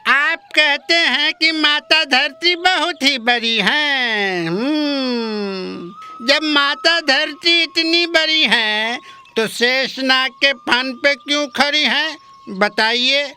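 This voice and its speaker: Slow, high-pitched pestering village drunkard played by a man. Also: pushed and bright, faint music behind, heavily acted. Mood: probing, stubborn. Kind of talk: Hindi comic cartoon story acting.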